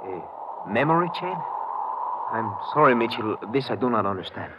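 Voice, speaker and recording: A man's voice: mid-range voice, sing-song, normal speed, lightly acted. A male actor playing an earnest, puzzled ally of the hero in a 1950s radio drama, with formal phrasing. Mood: baffled, concerned. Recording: noisy, muffled vintage radio recording.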